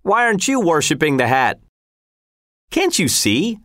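Rowdy storybook heckler, played by a man: mocking, mischievous commoner, calling out in a raised, swooping pitch. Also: sing-song, unhurried, no echo, clean studio sound. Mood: gleeful jeering. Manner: mocking shouts. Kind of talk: storybook character voice.

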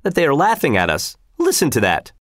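A man plays a put-upon soldier guard in a storybook. He whines with resentment and lively rises in pitch. The sound is close and dry. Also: fairly quick, no echo. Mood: resentful complaint. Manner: plaintive complaining. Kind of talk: storybook character voice.

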